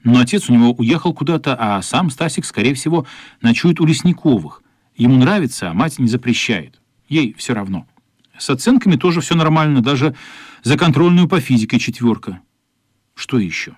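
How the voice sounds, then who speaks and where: A man's voice: mid-range voice, expressive, moderate pace, slightly rough, no room sound, clean studio sound. A man narrating a radio play as a scripted story.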